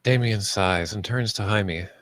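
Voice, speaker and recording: Low-voiced man narrating a script. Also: measured pace, a bit bright, no echo, studio quality. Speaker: narrator reading stage directions.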